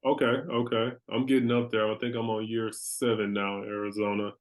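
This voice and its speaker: A man's voice: on the low side, little pitch movement, a little fast. An American man hosting an interview as a casual chat, with slangy, affirming phrasing. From Milwaukee, Wisconsin, United States. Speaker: podcast host, interviewer.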